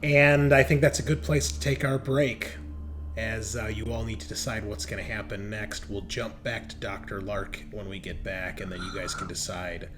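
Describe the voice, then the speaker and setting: A man's voice: on the low side, little pitch movement, normal speed. A man narrating a tabletop roleplaying game as game master in a casual, conversational way. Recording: clearly noisy background.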